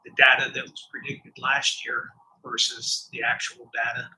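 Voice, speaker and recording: A man reviewing a student design and giving technical advice in a relaxed, conversational way. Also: medium pitch, normal speed, a little hiss or hum, low-quality recording. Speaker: design reviewer asking questions. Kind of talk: technical feedback.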